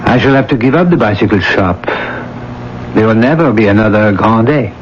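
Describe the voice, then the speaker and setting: An actor playing a condescending, persuasive, callous schemer in a radio drama. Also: male voice, fairly low pitch, expressive, fairly quick, lightly acted. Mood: casually callous. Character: smooth, scheming, callous male lover and shopkeeper. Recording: dull, noisy old radio broadcast.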